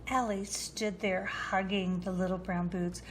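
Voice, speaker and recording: A woman reading a children's storybook aloud in a deliberate way. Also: mid-range voice, fairly even intonation, slight background noise. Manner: slow, deliberate narration. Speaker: storybook reader.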